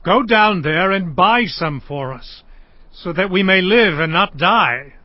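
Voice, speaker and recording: A man's voice: sits high, lively intonation, slow, no echo. An actor playing an old father, scolding and urgent, in a dramatized audio Bible. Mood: impatient, urging. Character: impatient old patriarch father urging his sons. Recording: dull, dry audio.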